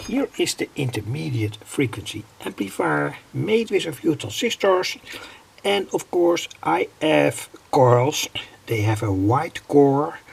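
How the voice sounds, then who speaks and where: A Dutch man with a husky, creaky voice gives an unscripted hands-on demonstration in accented English. He speaks very slowly and deliberately, halting in mid-sentence as he goes. His pitch sits a little high for a man. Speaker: electronics hobbyist presenter. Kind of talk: unscripted demonstration of a homemade device.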